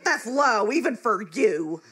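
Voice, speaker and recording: A sharp, indignant small-town sister. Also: female voice, a little high, big pitch swings, takes it slowly, slightly rough, full character voice. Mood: scolding. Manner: scolding, emphatic, folksy. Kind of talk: live comic radio theatre acting.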